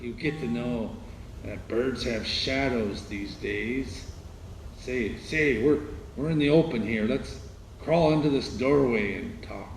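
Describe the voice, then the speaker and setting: A paranoid survivor, echoey and slow. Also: male voice, mid-range voice, slight background noise. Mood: wary, confiding. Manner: suspicious, jumpy, folksy clipped phrases with repeats. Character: paranoid, territorial, knife-armed male survivor in hiding. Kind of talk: radio drama dialogue.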